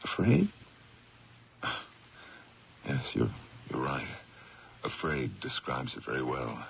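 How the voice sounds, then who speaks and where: An actor playing a middle-aged district attorney, earnest, defensive and haunted, in a 1970s radio drama. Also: male voice, very deep voice, very animated, takes it slowly, vocal fry, full character voice. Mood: uneasy. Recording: noisy, muffled 1970s radio broadcast recording.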